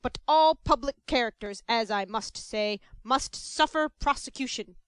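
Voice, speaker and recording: A blustering, self-satisfied male parish official from an old storybook, put on by a woman narrator. He speaks loudly and sternly, proud of his office and deaf to anyone's hardship. The sound is close and dry. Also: on the high side, unhurried, clear, resonant tone, no echo, natural voice. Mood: pompous. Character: pompous self-important middle-aged male parish beadle.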